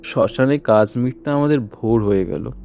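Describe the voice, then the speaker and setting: A man's voice: medium pitch, moderate pace, warm tone, soft background music, natural voice. A man playing a courteous, subdued young food delivery rider in an audio drama, with a polite, matter-of-fact way of talking. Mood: grave, sorrowful. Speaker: voice actor in an audio story. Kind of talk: dramatized audio horror story dialogue.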